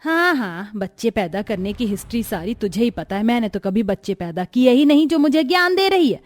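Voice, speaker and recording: A woman voicing a domineering middle-aged mother-in-law in a cartoon story, sharp and nagging in manner. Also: fairly high pitch, big pitch swings, a little fast. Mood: scolding. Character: haughty, scolding middle-aged Indian mother-in-law, doting on her daughters. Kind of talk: acted Hindi cartoon story dialogue.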